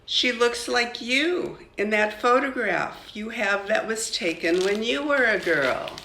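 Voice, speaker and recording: A woman's voice: on the high side, animated, slow, gravelly, sharp tone, echoey room, slight background noise, pitched above the performer's own voice, heavily acted, low-quality recording. A woman voicing an earnest young schoolgirl in a storybook, explaining things simply. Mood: hesitant, admiring.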